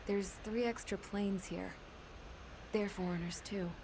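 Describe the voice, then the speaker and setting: A woman's voice: medium pitch, fairly even intonation, measured pace, noisy background, close to an everyday voice. An actress playing a cynical young woman aircraft mechanic in a game, telling her story in a wry, colloquial way. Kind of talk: scripted first-person narration.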